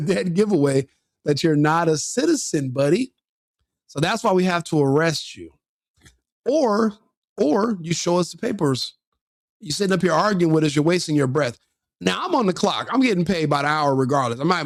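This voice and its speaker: A man's voice: on the high side, dry recording, clean studio sound. A man giving crude, mocking commentary on a video, sneering and laughing often.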